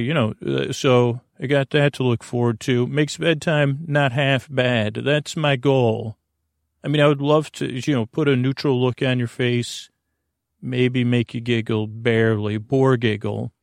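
A man hosting a bedtime podcast with a creaky voice, meandering gently and hesitantly through soothing, tangent-filled reassurance. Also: medium pitch, no echo, studio quality. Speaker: host of a bedtime sleep podcast. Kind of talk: rambling, soothing bedtime monologue.

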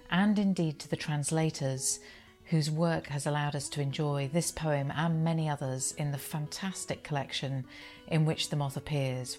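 A woman's voice: sits low, a little mellow. A woman podcast host giving a scripted-sounding closing announcement. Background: plucked guitar music.

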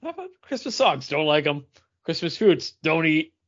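A man's voice: fairly high pitch, sing-song, normal speed. Teasing male co-host, slightly muffled sound. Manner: teasing, jokey. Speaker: podcast co-host. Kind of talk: casual banter.

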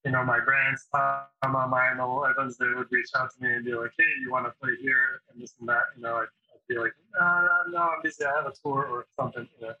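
Bright, husky man telling a story. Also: mid-range voice, flat delivery, a little fast. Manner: chatty, storytelling, lots of fillers. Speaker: drummer, interview host. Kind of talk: casual interview chat. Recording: dull lo-fi sound.

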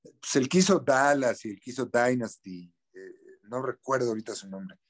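A middle-aged man with a Mexican accent talks frankly in an interview. His voice sits at an ordinary middle pitch. He drops in slang and loops on repeated words while he hunts for the right phrase. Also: normal speed. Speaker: actor, interview guest. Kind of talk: casual interview.

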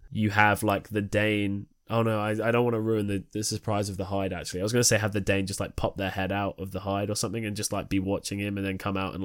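A man's voice: on the low side, a bit bright, no room sound, studio quality. A man co-hosting a podcast, talking casually through creative ideas for staging a play. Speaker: podcast co-host.

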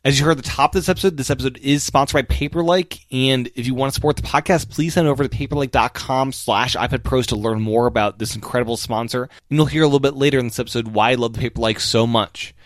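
A man's voice: medium pitch, quick pace, few pauses, no room sound, studio quality. An American man talking as a podcast host, in a conversational, slightly wordy way. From United States. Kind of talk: podcast intro and interview chat.

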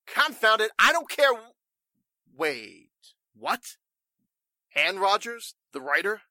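Pompous company boss, 1940s radio: forceful bright voice, swinging pitch, blustery, shifty. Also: male voice, sing-song, slow, dry recording, studio quality, full character voice. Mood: irritated, then wary. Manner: gruff bluster, stammering pomposity. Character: blustering, irritable, evasive warehouse boss.

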